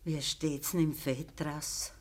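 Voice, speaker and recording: A frail old Bavarian farmer's wife in a radio drama. Her voice is breathy and husky, and she speaks slowly in rural dialect, gentle and resigned, like a mother who quietly pleads. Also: sits low, little pitch movement. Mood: weary, resigned. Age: older. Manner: slow, plain rural dialect speech. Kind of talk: naturalistic radio drama acting.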